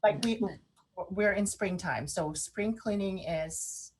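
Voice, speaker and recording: A woman with a Filipino accent chatting casually as a radio co-host, expressive, often halting and repeating words as she goes. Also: mid-range voice, breathy edge. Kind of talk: casual chat.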